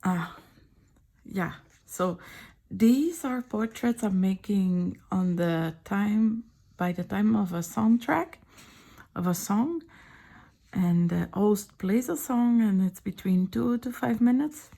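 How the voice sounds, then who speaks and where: A middle-aged woman with a French accent, speaking French as her first language, chats softly, often in a whisper, while showing her sketchbook drawings at home. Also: medium pitch, slow, creaky. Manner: soft-spoken, often whispering. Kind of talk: casual chat.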